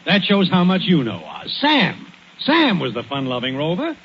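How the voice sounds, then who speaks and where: An American actor playing an opinionated, cheerfully argumentative suburban neighbor in a 1940s radio comedy, speaking emphatically. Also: male voice, high voice, wildly swooping pitch, moderate pace, full character voice. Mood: argumentative, scoffing. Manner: emphatic, contradicting. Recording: noisy, muffled 1940s radio recording.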